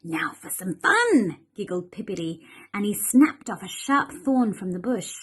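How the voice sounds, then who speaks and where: A female teacher reading a children's story aloud with expressive storybook narration. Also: mid-range voice, a little slow, husky.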